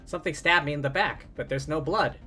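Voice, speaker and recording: A man's voice: fairly high pitch, fairly quick, sharp tone. A man who streams games, reading dialogue and narration aloud dramatically, with casual asides. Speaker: game streamer. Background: game music.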